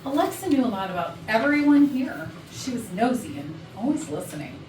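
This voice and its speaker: A woman's voice: on the high side, big pitch swings, a little fast, a little mellow. A woman playing a sarcastic, defensive HR manager suspected of murder in a staged comedy reading. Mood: sardonic. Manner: sardonic, indignant. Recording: echoey, distant, noisy rehearsal recording.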